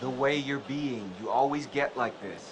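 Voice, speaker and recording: A man's voice: mid-range voice, moderate pace, lightly acted, rough recording. A man playing an easygoing teenage boy in a horror game, with naturalistic delivery. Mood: placating, annoyed. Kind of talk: naturalistic video game acting. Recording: loud ambient noise behind.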